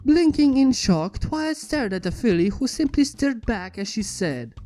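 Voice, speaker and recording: A woman's voice: on the high side, big pitch swings, normal speed, dark tone. A woman reading a scripted fan fiction story as its narrator. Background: background music.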